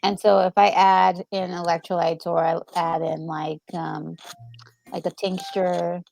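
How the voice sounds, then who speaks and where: A woman co-hosting a gaming video, chatting casually with expressive interjections. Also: fairly low pitch, a little slow, creaky, dry recording, soft background music, poor audio. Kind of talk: casual reaction chat.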